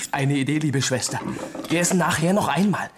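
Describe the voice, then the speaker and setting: A man's voice: on the high side, normal speed, natural voice. A young man playing an ironic, mocking crown prince in a German radio play. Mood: slyly mocking. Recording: noisy vintage radio recording.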